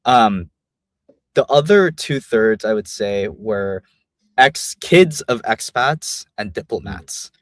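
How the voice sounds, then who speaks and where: A young man who grew up in Vietnam and speaks with an American accent, chatting easily as an interview guest and filling pauses with "um" and "like". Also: lowish voice, no room sound. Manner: relaxed, many fillers like "um" and "like". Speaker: guest, company founder. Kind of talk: casual interview chat.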